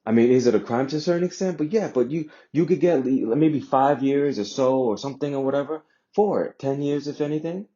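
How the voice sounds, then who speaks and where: A man's voice: mid-range voice, brisk, few pauses, warm tone. A man from Jamaica giving a casual opinion monologue, emphatic, repeating phrases and posing rhetorical questions in slightly muffled audio. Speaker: vlogger sharing personal views.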